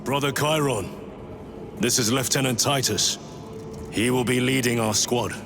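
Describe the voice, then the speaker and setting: A man's voice: mid-range voice, measured pace, background noise, lightly acted. An actor playing a formal, respectful male warrior sergeant in a game.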